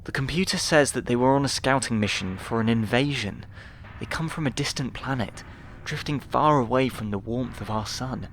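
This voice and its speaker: A man's voice: medium pitch, normal speed, a bit bright, a little hiss or hum, pitched above the performer's own voice, natural voice. A man voicing an earnest, anxious female expedition scientist in a dramatised sci-fi audiobook, with urgent delivery. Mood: earnest, astonished.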